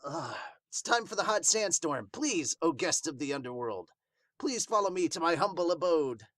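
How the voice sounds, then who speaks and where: A man's voice: a little high, sing-song, moderate pace, a bit bright, dry recording. A man voicing an emotional, eccentric male talking pig from a manga, a hospitable hermit with an exclamatory manner.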